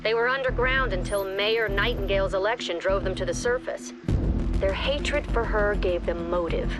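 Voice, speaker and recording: A woman plays a stern female commander in a tactical video game. Her delivery is clipped and businesslike, at a middle pitch, like a formal mission briefing. Faint music runs underneath. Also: lightly acted. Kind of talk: scripted game briefing narration.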